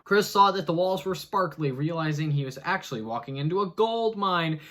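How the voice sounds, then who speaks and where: A man's voice: on the high side, lively intonation, moderate pace. Theatrical man reading aloud. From United States. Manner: mock-dramatic, swings between loud and hushed. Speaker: host. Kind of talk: comic dramatic reading with asides.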